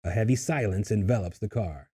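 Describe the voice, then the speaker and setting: A man reading screenplay stage directions in a husky voice, as scripted narration at a table read. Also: fairly low pitch, fairly quick, dark tone.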